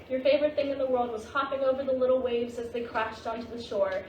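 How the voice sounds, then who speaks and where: Woman playing a gentle wise grandma ghost in a stage drama, patient, kinda high voice, echoey noisy room. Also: steady tone, recorded from a distance, lo-fi sound, natural voice. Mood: fond, wistful. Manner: patient, reassuring, unhurried guiding. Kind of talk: staged play reading.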